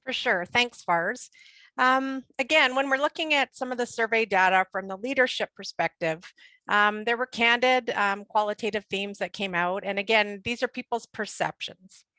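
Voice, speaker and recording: A woman's voice: a little high, normal speed, bright, forceful tone, dry recording. A woman speaking as an HR panelist in a webinar, conversational with frequent fillers. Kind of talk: webinar discussion.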